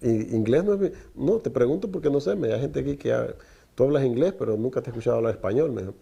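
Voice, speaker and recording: A man's voice: medium pitch, expressive, fast, creaky, warm tone, breathy edge, lo-fi sound. A middle-aged man whose first language is Spanish, preaching a Torah lesson and asking the listeners rhetorical questions. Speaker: teacher of a Torah portion.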